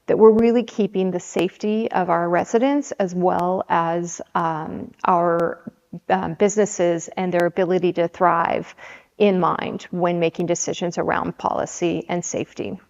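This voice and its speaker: Woman in her 40s from the Seattle area, city council candidate, measured and hesitant, muffled sound with a long reverb tail. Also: on the low side, steady tone, vocal fry, darkish tone. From Seattle area, USA. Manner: measured, with frequent ums and restarts. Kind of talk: candidate interview answers. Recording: dull audio with long hall reverb.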